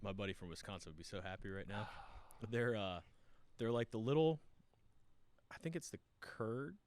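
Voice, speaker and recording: A man with a very deep voice talks casually on a podcast. He explains things at length and pads his sentences with fillers. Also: normal speed, darkish tone, slight background noise.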